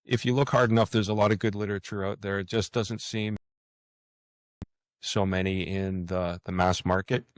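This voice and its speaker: A man's voice: fairly low pitch, little pitch movement, normal speed, dry recording, clean studio sound. A middle-aged man chatting casually as an interview guest, hesitant and often repeating his words. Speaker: fiction author, interview guest.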